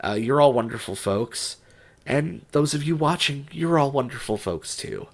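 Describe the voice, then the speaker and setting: Young male vlogger, congested from a cold, mid-pitched, hesitant and rambling with lots of restarts. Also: expressive, normal speed, gravelly. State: stuffed up, recovering from a cold. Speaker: music writer and YouTuber. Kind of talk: unscripted vlog monologue.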